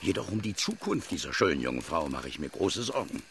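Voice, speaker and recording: An actor playing a gallant, worried English military gentleman in a radio drama, speaking formally and courteously. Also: a bass voice, sing-song, fairly quick, creaky, a little hiss or hum, exaggerated voice. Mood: admiring, concerned.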